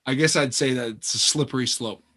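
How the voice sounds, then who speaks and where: A guarded, earnest man of privilege as acted by a man in a two-hander play. His voice sits at an ordinary middle pitch. He speaks hesitantly and casually, uneasy about his own principles. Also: fairly quick, sharp tone. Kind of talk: naturalistic stage dialogue.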